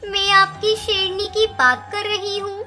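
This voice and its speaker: A woman's voice: very high voice, flat delivery, measured pace, bright, forceful tone, exaggerated voice. A woman voicing a clever, bold little mother bird in a children's cartoon story, emphatic and scolding. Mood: bold, insistent. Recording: music behind the voice.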